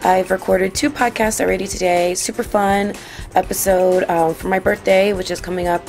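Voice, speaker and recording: Slightly hoarse woman hosting a podcast, gravelly edge, bubbly, faint music behind. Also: medium pitch, moderate pace. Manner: bubbly, excitable. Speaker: podcast host and producer. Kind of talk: casual podcast chat. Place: restaurant on the water.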